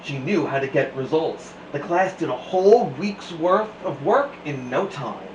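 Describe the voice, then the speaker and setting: Animated man hosting story time, echoey room. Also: a little high, a little slow. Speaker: host of a children's story time show. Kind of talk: animated read-aloud storytelling for children. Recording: echoey room, faint background noise.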